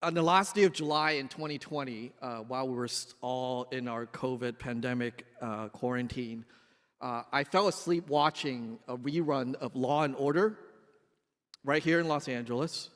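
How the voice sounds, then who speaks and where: A man's voice: medium pitch, normal speed, fairly bright tone. A man in his forties from Hong Kong giving an earnest, emphatic dinner speech as an activist guest speaker, with some restarts. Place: large banquet hall. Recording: echoey hall with long reverb.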